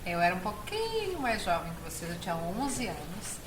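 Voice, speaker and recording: A woman's voice: medium pitch, huge pitch swings, moderate pace. A woman with a southern Brazilian accent, telling personal stories as an interview guest, earnest and given to emphatic repetition. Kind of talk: personal storytelling in conversation. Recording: echoey room, clear background noise.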